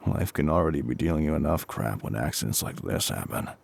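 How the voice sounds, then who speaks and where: Man acting a very deep, gravelly firefighter captain. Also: lively intonation, darkish tone, full character voice. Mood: kindly, wry. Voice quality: gruff, gravelly. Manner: reassuring, slightly awkward, hesitant pauses. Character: gruff, kindly middle-aged male firefighter captain, protective. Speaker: voice actor in an audio roleplay. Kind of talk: intimate audio roleplay acting.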